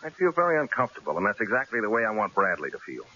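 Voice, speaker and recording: An actor playing a stubborn, self-assured newspaper columnist in an old-time radio drama, wry and punchy. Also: male voice, on the low side, big pitch swings, very fast, sharp tone, full character voice. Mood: cocky, wry. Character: cocky, stubborn male newspaper columnist, breezy and unflappable. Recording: hissy, dull old radio broadcast recording.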